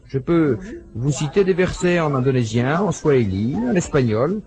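A man delivers a translated voice-over of a lecture in a slightly raised voice. He tells the stories with lively swings in pitch and plays out every quoted exchange. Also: normal speed, crowd noise. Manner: animated storytelling, acting out quoted dialogue.

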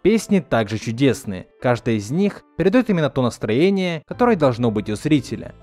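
Animated male video essayist over music. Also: medium pitch, fairly quick. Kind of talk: scripted video essay narration. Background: background music.

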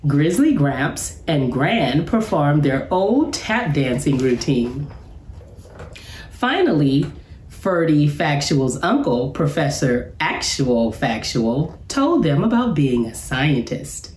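A middle-aged woman, a teacher, reading aloud to children in an expressive, deliberate storytelling way. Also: low voice. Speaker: school teacher reading to pupils. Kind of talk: animated children's read-aloud. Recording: roomy, echoing indoor sound.

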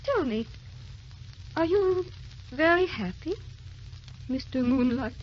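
An actress playing a gentle, longing, ever-young wife in vintage radio drama style. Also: female voice, sits high, expressive, takes it slowly. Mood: pleading, wistful. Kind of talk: 1930s radio drama acting. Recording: dull hissy vintage radio recording with music.

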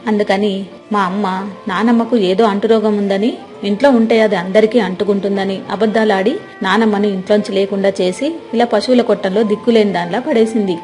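Woman as caring, fearful young village girl confiding secrets, mellow medium-pitched voice. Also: lightly acted. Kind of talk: scripted moral-story dialogue.

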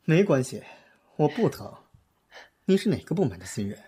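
A man's voice: a little high, very animated, normal speed, mellow, slightly rough, full character voice. Man playing an arrogant, suave male pop star. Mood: affable. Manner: haughty, suave. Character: arrogant male pop star, two-faced, smooth in public. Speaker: voice actor in an audio drama. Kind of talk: Chinese audio drama acting.

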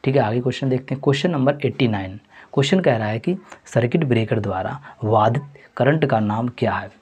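A man with a husky, slightly breathy voice works through a mock test as a teacher. His pitch sits in an ordinary middle range. He repeats the answers and keeps calling his viewers friends. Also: animated, a little fast, a little mellow. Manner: repetitive, addresses viewers as friends. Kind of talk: exam question walkthrough.

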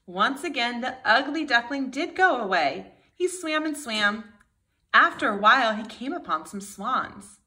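A woman's voice: fairly high pitch, lively intonation, measured pace, bright, forceful tone. A woman in her thirties, a kindergarten teacher reading a storybook aloud expressively to young children.

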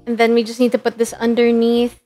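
Young woman VTuber from the Philippines, Tagalog first language; casual, giggly stream chatter, slightly high voice. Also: completely flat delivery, a little fast, dark tone, faint music behind. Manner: chatty, giggly, lots of fillers. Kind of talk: casual live-stream chat while working.

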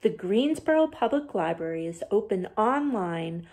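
A woman in her forties hosting a storytime for young children, talking playfully with puzzled, questioning pauses as if forgetful. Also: medium pitch, big pitch swings, a little mellow. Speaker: children's library storytime host. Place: small bedroom, indoors.

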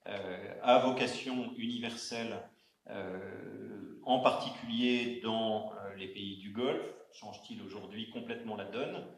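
A man moderating a round-table discussion in an auditorium, speaking haltingly with many fillers and restarts. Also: fairly low pitch. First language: not Arabic. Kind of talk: hesitant spoken introduction at a panel. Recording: echoey auditorium sound.

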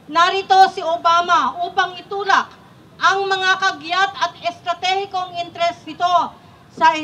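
A woman's voice: very high voice, sharp tone. A middle-aged woman with a Filipino accent delivering an emphatic rally speech into an outdoor microphone. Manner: declamatory, emphatic. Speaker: protest speaker. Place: outdoor protest rally stage. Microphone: microphone through PA.

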